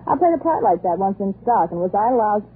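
A woman's voice: mid-range voice, sing-song, brisk, natural voice. Woman acting brazen American actress, tinny old radio. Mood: cynical, frank. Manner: flippant, slangy, taunting. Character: brazen, cynical, fading Hollywood actress, a scheming mistress. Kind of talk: 1930s radio drama acting. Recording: scratchy, tinny 1930s radio recording.

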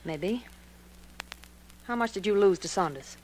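An actress in a 1940s radio drama playing a jealous wife who makes cool, deliberate threats. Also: female voice, medium pitch, animated, darkish tone. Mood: cold, mocking. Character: jealous, menacing wife holding a gun. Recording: noisy old 1940s radio recording.

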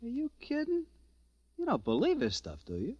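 An actor playing a gruff, dismissive, tired husband with an American accent, blunt and slangy in 1940s radio style. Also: male voice, very high voice, huge pitch swings, moderate pace, darkish tone, no echo, full character voice. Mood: scoffing. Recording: dull, band-limited vintage radio recording.

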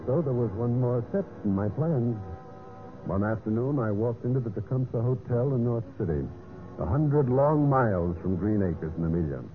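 An actor playing a cold, scheming husband in a 1950s radio mystery, with a smooth, calculating manner. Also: male voice, medium pitch, lively intonation, normal speed, lightly acted. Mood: coolly scheming. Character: scheming, cold husband narrating his own murderous plot. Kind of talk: 1950s radio mystery acting and first-person narration. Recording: muffled old radio broadcast with music.

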